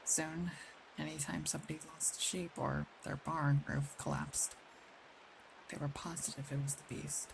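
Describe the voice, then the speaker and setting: A woman plays a protective she-wolf of a werewolf, older than she looks, in an intimate ASMR roleplay. Her voice has a gravelly fry and is gentle, wistful and soothing, with unhurried pauses. A faint hiss sits behind it. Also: low-pitched, flat delivery. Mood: matter-of-fact, wry. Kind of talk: ASMR roleplay, intimate scripted acting addressed to one listener.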